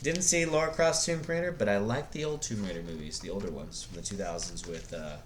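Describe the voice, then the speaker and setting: A man's voice: on the low side, fairly quick, a little hiss or hum. A man giving casual, unscripted opinions like a video blogger, blunt and rambling, often hesitating as he searches for words.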